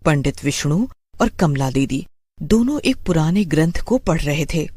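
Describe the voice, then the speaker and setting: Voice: medium pitch, animated, measured pace, mellow. A narrator reading a scripted horror story.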